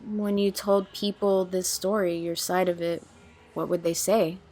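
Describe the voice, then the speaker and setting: A woman's voice: on the low side, level tone, unhurried, darkish tone, soft background music. An actress playing a sincere young woman, blunt but caring, in an audio drama. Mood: careful, sympathetic. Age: young adult.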